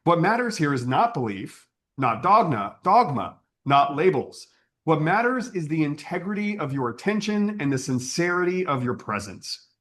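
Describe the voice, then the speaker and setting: Male spiritual service leader, slightly high pitch, highly varied melody, earnest and measured scripted address. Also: big pitch swings, a bit bright.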